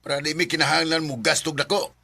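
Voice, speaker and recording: A man's voice: fairly high pitch, level tone, fast talker, gravelly, sharp tone, full character voice. An actor playing a stern, dismissive father in a radio drama. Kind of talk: Philippine radio drama acting.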